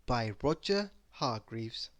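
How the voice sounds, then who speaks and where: Children's read-aloud narrator, expressive, swooping pitch, unhurried pace, dry recording. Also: dry recording. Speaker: storyteller reading a children's book aloud.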